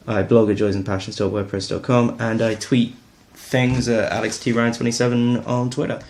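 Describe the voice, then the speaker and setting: A man's voice: medium pitch, flat delivery, moderate pace, a little mellow. A man with a southern English accent giving a casual, chatty self-introduction. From Canterbury, Kent, England. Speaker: podcaster.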